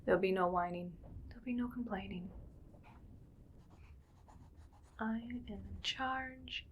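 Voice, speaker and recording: A woman playing a bossy, musing female mad scientist in a whispered ASMR roleplay, speaking in a breathy, clinical, quietly menacing way. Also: mid-range voice, a little hiss or hum, natural voice. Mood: stern, commanding. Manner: slow musing, clinical, domineering. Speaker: ASMR performer.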